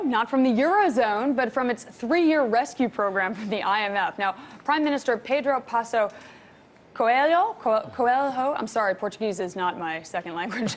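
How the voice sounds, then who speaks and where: A woman's voice: a little high, slight background noise. A woman hosting a TV business segment, upbeat and chatty, reading her intro and asking her guest questions. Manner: upbeat, chatty, stumbles over names. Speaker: TV show host.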